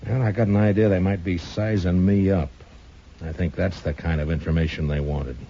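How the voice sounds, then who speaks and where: A muffled, hissy old radio recording carries a shrewd western marshal. His voice is very low and dark, and he talks in a laconic, deliberate way, suspicious of what he is told. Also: fairly even intonation. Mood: wary, musing. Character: shrewd, suspicious frontier US marshal, grown man. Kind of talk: 1950s radio western acting.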